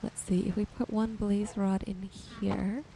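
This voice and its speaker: A woman giving relaxed ASMR-style gameplay commentary, gently thinking aloud without hurry. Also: mid-range voice, measured pace, gravelly, a little hiss or hum, rough recording. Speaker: gaming video host.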